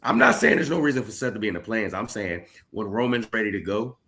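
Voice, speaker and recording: A man's voice: lowish voice, huge pitch swings, fast talker, gravelly, sharp tone. A man in his late thirties co-hosting a casual podcast, arguing his opinions insistently. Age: late 30s. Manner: insistent, argumentative. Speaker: podcast co-host.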